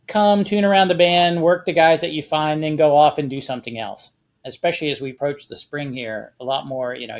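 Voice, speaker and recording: Guy with a highish voice just chatting thru tips on a hobby panel, explaining stuff casually. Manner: conversational, giving practical advice. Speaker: panelist on a ham radio discussion show.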